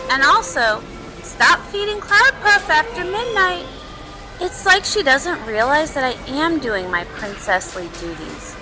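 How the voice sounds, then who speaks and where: A woman's voice: high-pitched, very animated, a little slow, over music, poor audio. A woman voicing a self-absorbed, dramatic young princess pony with a sassy, whiny manner. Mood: exasperated. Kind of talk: cartoon voice acting in a comic read-along.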